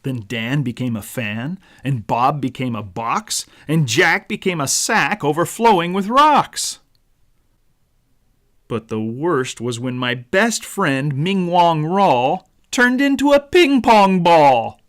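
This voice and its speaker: A man narrating a rhyming children's story as its author, in a dramatic, deliberate storyteller's manner. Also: fairly high pitch, animated, fairly bright tone. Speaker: author reading own book.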